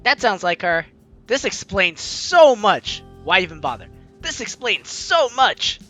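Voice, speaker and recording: Playthrough host: bright, forceful voice, very lively pitch, expressive reading mixed with off-the-cuff reactions, faint music behind. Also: measured pace. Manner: exclaims and voices dialogue expressively. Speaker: gaming video host reading and reacting to a visual novel. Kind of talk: playthrough narration with animated ad-libbed reactions.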